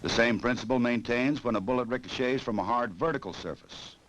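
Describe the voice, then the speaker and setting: An American man narrating a 1960s instructional film in a formal, measured, authoritative way, on an old film soundtrack. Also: mid-range voice, a bit bright, poor audio.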